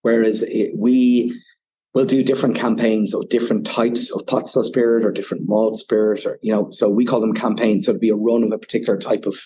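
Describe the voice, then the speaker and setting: A man's voice: lowish voice, flat delivery, brisk, mellow. A man with an Irish accent, a whiskey ambassador guest on a podcast, explaining at length and often saying "you know". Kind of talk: casual podcast interview.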